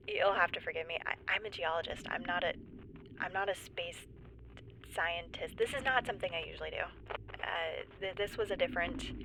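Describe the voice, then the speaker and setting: A woman playing a nervous stranded geologist in a sci-fi audio drama log, halting and rambling as she tries to stay composed. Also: on the low side, creaky, noisy background. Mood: nervous, apologetic. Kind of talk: audio drama monologue, found-footage log.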